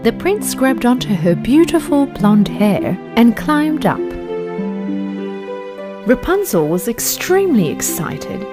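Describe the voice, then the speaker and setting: Slow storybook lady narrator, high voice, music playing behind her. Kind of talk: scripted children's fairy tale narration.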